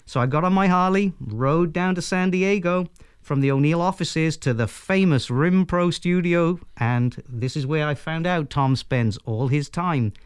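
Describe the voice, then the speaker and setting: A man's voice: a little high, unhurried, smooth, no room sound, studio quality. A Welsh man playfully taking over as host of a podcast, talking in an upbeat, jokey way. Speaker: guest host for the day, software executive. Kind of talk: podcast hosting.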